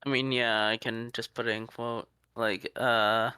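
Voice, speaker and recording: A stream host chatting casually over a voice call, curious and full of quick questions. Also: mid-range voice, flat delivery, bright, forceful tone, clear, resonant tone, dry recording. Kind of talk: casual chat on a live stream.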